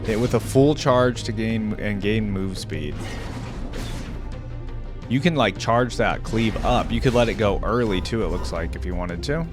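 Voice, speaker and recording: A man's voice: fairly low pitch, unhurried, music in the background. A man giving casual reaction commentary as the host of a gaming video.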